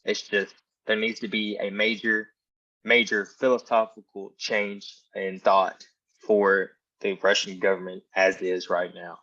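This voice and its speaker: Guest: young American man, low voice, earnest and reflective, lo-fi recording. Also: level tone, measured pace, bright, forceful tone. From Ukraine. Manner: earnest, reflective, many fillers. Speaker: podcast guest. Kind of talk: casual interview talk. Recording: dull lo-fi sound.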